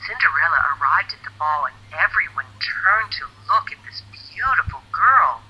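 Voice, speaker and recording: A woman narrating a children's fairy tale in an expressive, deliberate storybook manner. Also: low voice, breathy edge, highs cut off.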